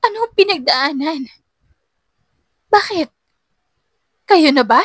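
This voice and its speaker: A betrayed, furious young woman played by a female narrator in an audio love drama. Her voice is high and bright, shouting accusations with raw anguish. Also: animated, takes it slowly, dry recording, clean studio sound, exaggerated voice. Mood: furious, wounded.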